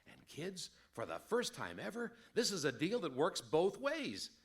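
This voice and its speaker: A man acting a hard-selling radio announcer from the old days, pitched a bit high. His delivery is very animated and peppy, leaning into every advertising slogan as he sells breakfast cereal to kids. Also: unhurried, heavily acted. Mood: upbeat selling. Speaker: commercial announcer.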